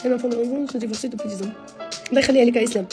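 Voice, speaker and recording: A woman's voice: a little high, very fast, music in the background. A woman in her thirties with a Moroccan Arabic accent, chatting animatedly to her followers on a phone live stream in a small room. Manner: animated, emphatic, gestures a lot while talking. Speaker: social media personality. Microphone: phone at arm's length.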